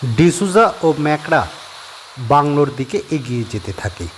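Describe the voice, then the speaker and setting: A male narrator reading a scripted horror story in a steady, descriptive way. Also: a little high, a little slow, slight background noise.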